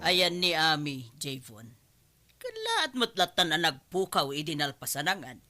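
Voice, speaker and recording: A woman's voice: deep voice, very animated, measured pace, sharp tone, faint music behind, full character voice. A woman acting a disapproving, meddling mother-in-law in a Filipino radio drama, with an Ilocano accent and a scolding manner.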